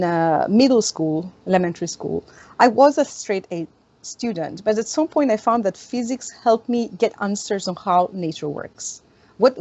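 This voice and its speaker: A woman from Greece, an astronomer answering interview questions in an animated, storytelling way, often laughing. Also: mid-range voice, muffled recording. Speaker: astronomer, guest. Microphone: video call.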